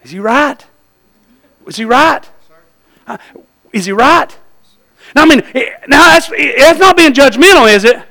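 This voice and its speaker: A man's voice: very high-pitched, expressive, unhurried, gravelly, pauses often, pushed and bright. A man with a Southern US accent preaching an evangelistic sermon in a folksy, exhortatory way, putting questions to his listeners and repeating phrases for emphasis.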